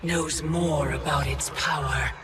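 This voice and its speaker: A woman's voice: low voice, steady tone. An actress playing an ancient, coldly menacing female Titan in a video game, speaking in formal, commanding tones. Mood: cold, persuasive. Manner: slow, formal, commanding delivery. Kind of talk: dramatic video game villain acting.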